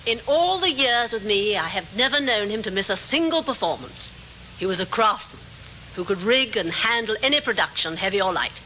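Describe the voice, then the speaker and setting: Actress playing formal, commanding female company boss, crisp radio-play delivery, muffled vintage recording with some hiss. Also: medium pitch, expressive, brisk, close to an everyday voice. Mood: composed, guarded. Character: imperious, loyal female head of a theatre company. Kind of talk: 1950s radio drama acting. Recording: muffled, echoing, hissy old radio recording.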